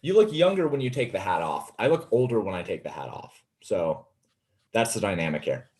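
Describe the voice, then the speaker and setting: A man's voice: on the low side, big pitch swings, brisk, a little mellow. A man in his late twenties co-hosting in casual chat, joking in an easygoing way and often saying "like". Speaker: co-host. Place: indoor home room on a video call.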